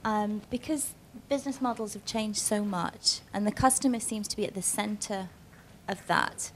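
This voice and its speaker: Female panel moderator, mid-pitched, chatty and halting. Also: a little hiss or hum, poor audio. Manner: chatty, halting questions with fillers. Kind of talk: panel discussion.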